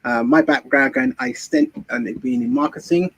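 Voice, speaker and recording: A man who co-founded the event speaks as a guest, rambling and halting with many fillers. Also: fairly high pitch, big pitch swings, creaky, fairly bright tone. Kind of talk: casual interview.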